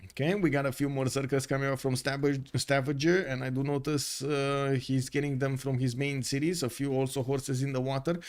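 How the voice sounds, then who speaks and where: A man's voice: mid-range voice, little pitch movement, normal speed, hardly any pauses. A man casting a strategy game live, reading chat and thinking aloud with lots of fillers; English is not his first language. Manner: casual, chatty, thinks aloud with many fillers. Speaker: livestream caster.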